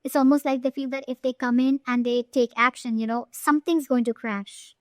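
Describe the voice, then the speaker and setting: Woman, very high voice, tarot reader, informal and emphatic, frequent tag questions, dry close sound. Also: steady tone, a little fast, no room sound. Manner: emphatic, checks in often with short tag questions. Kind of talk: informal tarot reading addressed to the viewer.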